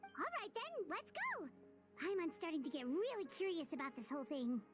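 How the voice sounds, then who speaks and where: A woman voicing a tiny, excitable female fairy companion who is chirpy and nosy, with game music behind. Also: extremely high pitch, normal speed. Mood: chirpy curious. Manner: chirpy, nosy, refers to herself by name. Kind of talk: game cutscene voice acting.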